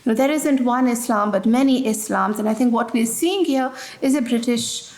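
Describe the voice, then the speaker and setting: A woman with a fairly high voice gives a public lecture in a hall. She talks in a loose, conversational way, restarting phrases as she goes. The room rings with noticeable echo. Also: moderate pace, darkish tone. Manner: conversational, with frequent "you know" and restarts.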